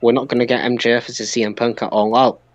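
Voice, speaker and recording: A man's voice: mid-range voice, little pitch movement, fast talker, no echo. A man hosting a wrestling podcast, chatting casually and arguing with exclamations.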